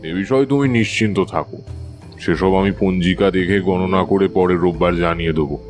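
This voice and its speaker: An actor playing a wise older Tantric priest in an audio drama, calm, measured and authoritative. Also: male voice, sits low, lightly acted. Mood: assured. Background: faint background music.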